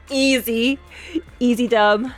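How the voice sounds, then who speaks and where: A woman's voice: fairly high pitch, a bit bright. A woman livestreaming a game reaction, reading dialogue aloud and chatting with playful, teasing, slangy asides. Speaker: streamer reacting to a game story.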